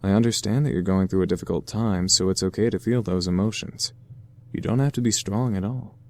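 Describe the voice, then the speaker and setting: A man plays a courteous young demon from a visual novel with a low voice. He speaks in a formal, measured way, attentive and caring. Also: slight background noise, lightly acted. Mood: gentle, concerned.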